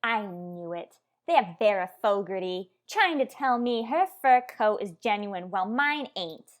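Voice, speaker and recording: A woman's voice: mid-range voice, expressive, a little slow, close to an everyday voice. A woman playing a naive, chatty young woman with slangy speech in an old-time radio comedy. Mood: gossipy. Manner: chatty, wide-eyed, slangy. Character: naive gossipy adult woman, tavern owner's daughter.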